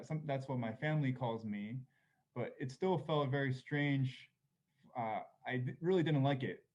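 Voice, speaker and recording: Korean-born guy on a panel, mid pitch, hesitant with lots of ums, kinda muffled audio. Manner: thoughtful, hesitant, many fillers and soft laughs. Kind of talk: reflective panel conversation.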